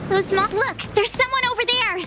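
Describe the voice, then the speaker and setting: A woman's voice: extremely high pitch, a little fast, heavy background noise. A woman voicing a small, childlike, curious and worried companion in a video game, with music behind her. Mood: worried, alert.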